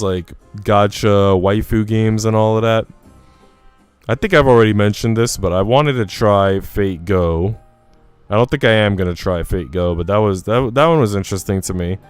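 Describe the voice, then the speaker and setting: A man's voice: on the low side, smooth. A man streaming casually, chatting and reading in an informal, slangy way. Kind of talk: casual livestream chat and visual novel reading. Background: faint music.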